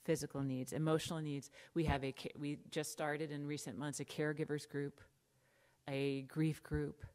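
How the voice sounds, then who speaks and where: A middle-aged American woman with a low voice preaching a sermon from a church pulpit. She talks conversationally, with asides and emphatic lists, into a lapel mic. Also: little pitch movement, normal speed, darkish tone. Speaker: pastor.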